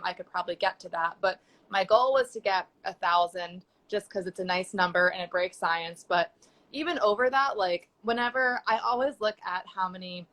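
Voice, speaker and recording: A woman's voice: medium pitch, a bit bright. A young woman chatting casually as a guest on a live video call, talking loosely with many fillers. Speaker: guest sex educator. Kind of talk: casual chat on a live stream. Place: home.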